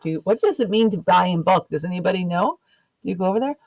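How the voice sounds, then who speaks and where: A woman reading a picture book aloud expressively like a teacher, pausing to put questions and asides to young listeners. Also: lowish voice, no echo, rough recording. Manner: animated, asks the listeners questions.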